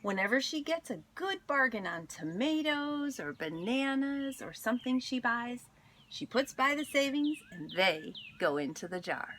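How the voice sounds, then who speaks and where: A woman teacher reading a children's story aloud to students, expressive and deliberate, with dramatic pauses. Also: high voice, a little hiss or hum.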